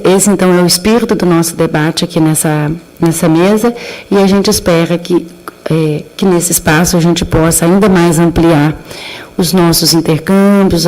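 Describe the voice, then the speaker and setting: Brazilian woman, lowish voice, slow careful conference talk, lots of ums, lecture hall. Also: darkish tone. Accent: Brazilian Portuguese. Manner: measured, hesitant with fillers and restarts. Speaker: panelist at an academic round table. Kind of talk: formal conference presentation. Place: university lecture amphitheater.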